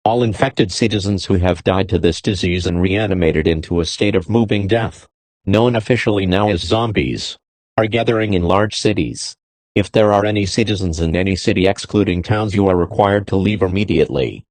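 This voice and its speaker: Deep voiced guy doing an emergency alert announcement, formal and terse, official sounding. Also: moderate pace, a little mellow, dry recording. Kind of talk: scripted emergency broadcast announcement.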